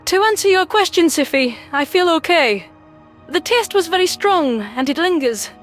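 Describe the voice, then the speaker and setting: A tiny alien rodent female for a sci-fi audio drama. The voice is extremely high, cheeky and teasing, with an affectionate streak. Music plays behind it. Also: lively intonation, normal speed. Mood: tentative.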